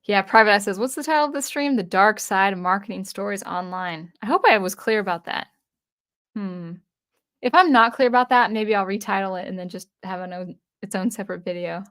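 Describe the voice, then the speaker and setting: A woman's voice: medium pitch, brisk, a bit bright, clear, resonant tone. A woman from the US Northwest hosting a casual livestream, reading viewer comments aloud and answering them in a chatty way with frequent restarts. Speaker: livestream host.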